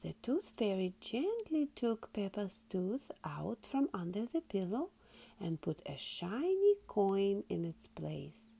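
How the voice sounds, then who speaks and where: A woman reading a children's story aloud in an expressive, deliberate storytelling manner. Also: medium pitch, creaky, breathy edge. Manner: animated, slow and deliberate.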